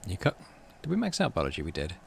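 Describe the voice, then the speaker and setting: A man's voice: low voice, wildly swooping pitch, quick pace, creaky, slight background noise. A man hosting a playthrough, reading game text aloud with animation and muttering offhand commentary to himself. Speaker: gaming channel host.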